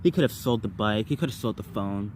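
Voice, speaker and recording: Rambling man over clear background noise. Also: lowish voice, a little fast, darkish tone. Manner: rambling, repeats words and phrases in bursts. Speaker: vlogger. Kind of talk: casual personal storytelling to viewers.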